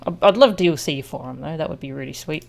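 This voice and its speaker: A woman's voice: low voice, fast, creaky, darkish tone. A woman game streamer giving casual commentary on a playthrough.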